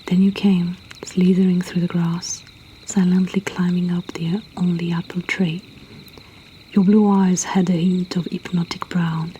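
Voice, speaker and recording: A woman softly whispering a poetry reading as an intimate storyteller host, breathy and deliberate with long pauses. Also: on the low side, a little hiss or hum, low-quality recording.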